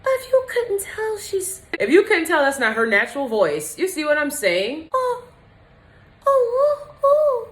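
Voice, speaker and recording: A woman's voice: extremely high pitch, huge pitch swings, measured pace, pitched above the performer's own voice, full character voice. A woman putting on an exaggeratedly feminine, girlish glamour woman with cooing delivery in a casual vlog. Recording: roomy, echoey indoor sound.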